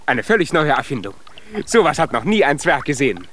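An actor playing a proud, cheerful young dwarf inventor in a children's radio play, speaking with boastful emphasis. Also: male voice, fairly high pitch, sing-song, brisk, a bit bright. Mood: proud, excited. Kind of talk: children's radio play acting with some singing.

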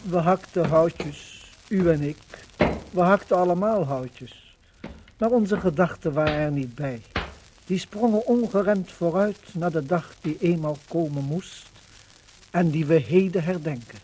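High-voiced solemn male radio narrator. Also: measured pace, background noise. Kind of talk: scripted 1950s radio documentary narration.

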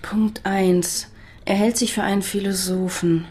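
An actress playing a sharp, probing woman in a radio drama, husky and brisk, full of questions. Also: medium pitch, fairly even intonation. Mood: probing, matter-of-fact. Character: sharp, probing woman working as an investigative partner. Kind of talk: naturalistic radio drama acting.

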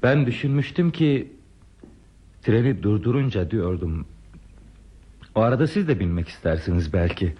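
A male actor playing a lonely, tender railway man in a radio play, speaking politely in a halting, earnest, theatrical way. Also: lowish voice, animated, slightly rough, highs cut off. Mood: hesitant, polite.

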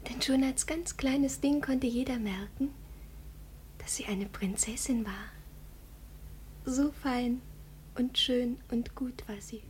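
An actress playing an earnest, loyal village child in a German radio play, speaking in a hushed, reverent, dreamy way. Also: female voice, a little high, slow pace, pauses often, a little hiss or hum.

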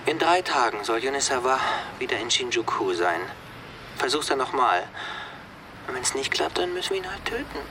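A man's voice: mid-range voice, very animated, normal speed, noisy background. An actor playing a callous gangster who toys with his underling in a German radio drama, mocking and nonchalantly menacing. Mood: cold, amused.

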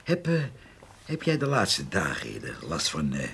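A man's voice: a bass voice, huge pitch swings, measured pace, creaky, a bit bright, slightly rough, full character voice. An actor in a radio drama playing a chatty, long-winded old village handyman who hesitates and loves to gossip. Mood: hesitant, concerned. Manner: long-winded, hesitant, chuckling rural storyteller.

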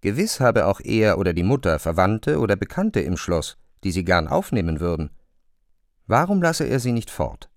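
A man narrates a literary radio play in diction. His delivery is measured and deliberate, and the studio sound is close and dry. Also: lowish voice, sing-song, no room sound.

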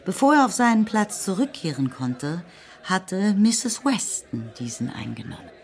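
A woman giving calm, measured scripted narration for a radio play. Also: medium pitch, gravelly.

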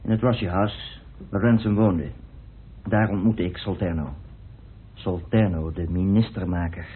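A man's voice: sits low, fairly even intonation, a little slow, slight background noise. An actor, arguing his case in a dry, assertive way. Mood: dry, pointed. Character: self-assured man coolly arguing his theory of a murder. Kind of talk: radio play acting. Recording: muffled phone-like old radio recording.

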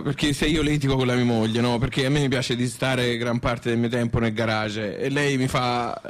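An actor playing a plain-spoken, grumbling old husband with a Tuscan accent, talking haltingly and seeking agreement with little tag questions. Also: male voice, medium pitch, lightly acted.

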